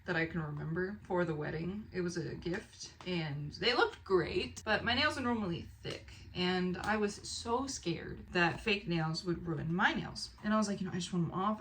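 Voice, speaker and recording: A woman vlogger chatting casually and excitedly to the camera, animated and rambling with expressive asides. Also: fairly low pitch, a little hiss or hum. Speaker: book haul vlogger and fiction author.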